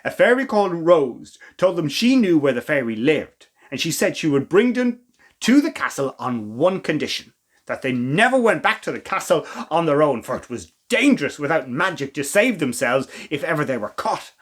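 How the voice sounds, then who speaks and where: A man reading a children's story in an expressive, theatrical way. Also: a little high, moderate pace.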